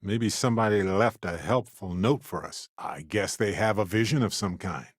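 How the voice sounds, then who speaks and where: A man playing a wry, warm-hearted space explorer companion in a video game, with playful, conversational asides. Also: fairly low pitch, moderate pace, breathy edge, no echo, studio quality. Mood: wry, teasing.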